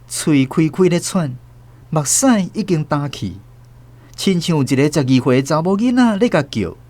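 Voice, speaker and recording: A man from Taiwan narrating a radio drama in a calm, measured storytelling voice. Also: a little high. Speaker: host and narrator. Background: soft violin music.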